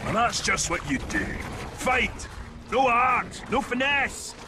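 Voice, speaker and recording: Hearty game dwarf hunter, very high voice with animated swings, bragging and blustering. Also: male voice, slow pace, background noise, heavily acted. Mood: hearty, challenging. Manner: blustering, hearty, boastful shouting. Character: boisterous bearded dwarf hunter and trainer. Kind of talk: video game character acting. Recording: loud music over the voice.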